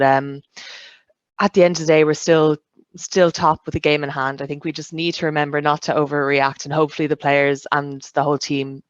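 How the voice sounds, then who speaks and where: A woman talking as a panellist in a casual football chat, in a measured, reflective way. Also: sits low, no room sound, lo-fi sound. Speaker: podcast panellist.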